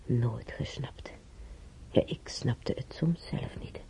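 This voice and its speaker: An older woman acting in a radio drama as a frail, bedridden, wistful but plucky old woman, musing haltingly to herself in a husky, breathy voice. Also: extremely low pitch, warm tone, in a whisper, heavily acted, rough recording. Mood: fond reminiscing.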